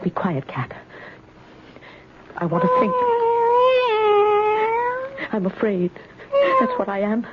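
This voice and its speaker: A muffled, noisy seventies radio recording carries a frightened, lonely old spinster. Her delivery swings widely in pitch, halting and repeating words as she frets through a mystery drama. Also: huge pitch swings. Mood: panicky, fretting. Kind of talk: 1970s radio mystery acting. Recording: noisy, dull 1970s radio broadcast recording.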